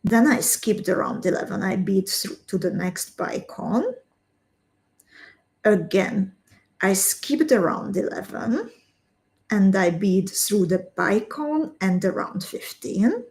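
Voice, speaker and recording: Casting note: woman, unhurried craft teacher, friendly live-stream chatter with viewers, steady mid-range voice. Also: mid-range voice. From Slovakia. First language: Hungarian. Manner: slow, step-by-step instructions, chatting with viewers. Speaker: beading instructor.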